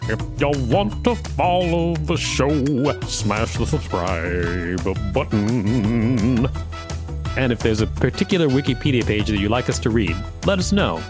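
A man's voice: medium pitch, very animated, measured pace. A man with a mid-range voice co-hosts a podcast. He moves between reading written material and casual commentary. Faint music plays behind him. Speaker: podcast co-host.

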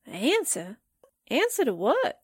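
A woman performs an eager girl-reporter sidekick from a 1940s radio mystery. The voice is high and bounces around a lot, excitable and slangy, in a dry recording. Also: slow pace, slightly rough, no room sound, heavily acted. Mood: confused. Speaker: actor in an amateur radio drama re-creation.

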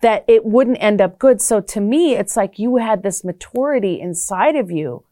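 A woman's voice: mid-range voice, animated, moderate pace, dark tone, dry recording. Chatty woman interviewer, dry sound. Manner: chatty, affirming, frank. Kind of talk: conversational interview.

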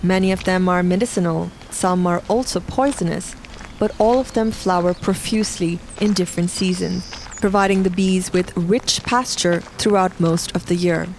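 A woman's voice: on the low side, normal speed, slight background noise. A woman reads scripted documentary narration as the narrator of a reportage.

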